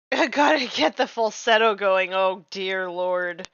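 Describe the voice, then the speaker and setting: A woman's voice: medium pitch, animated, slow, a bit bright, smooth, dry recording, highs cut off. A young woman chatting casually and self-deprecatingly while painting miniatures on a live stream, full of "like", just getting over losing her voice. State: recovering from lost voice.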